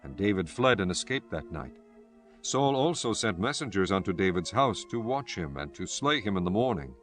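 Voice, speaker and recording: A man's voice: sits low, very animated, moderate pace, faint music behind. A man narrating scripture as a solemn, scripted story in archaic English prose.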